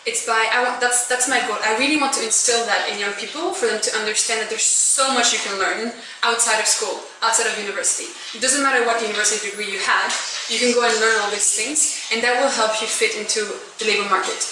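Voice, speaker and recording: A woman's voice: fairly high pitch, fairly even intonation, quick pace, few pauses. Young woman interviewed in a very echoey room. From born in Belgium, grew up in rural France. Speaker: social enterprise founder and managing director being interviewed. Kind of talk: informal interview, telling her story. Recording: very echoey room, distant mic, background noise.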